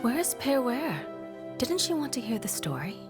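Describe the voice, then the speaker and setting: A woman's voice: fairly high pitch, big pitch swings, a little slow, loud music behind the voice. A woman voicing a stern, controlling, coolly soothing orphanage mother in a video game. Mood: cool, commanding.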